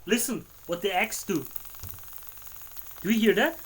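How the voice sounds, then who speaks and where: A man's voice: high voice, lively intonation, a little slow, low-quality recording. A man with an Austrian German accent, chatting casually on a cooking livestream, rambling and repeating words as he talks to himself. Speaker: livestreaming home cook.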